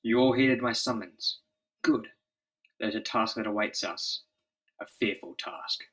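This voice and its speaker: A man performs an earnest scientist who leads a team of heroes. He sounds authoritative and declamatory at a medium male pitch, in the dramatic manner of a comic-book story. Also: flat delivery, measured pace, a bit bright, lightly acted, low-quality recording. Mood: solemn, commanding. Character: earnest, commanding scientist leader, adult man.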